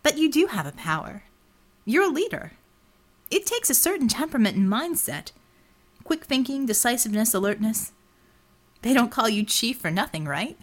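A woman's voice: fairly high pitch, very animated, moderate pace, pauses often. A woman voicing a determined, wry female detective in an audiobook, direct and probing with a teasing edge. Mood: encouraging.

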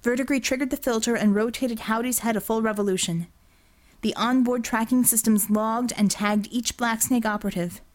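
A woman's voice: on the high side, fairly even intonation, moderate pace. A woman reading a scripted story as an audiobook narrator.

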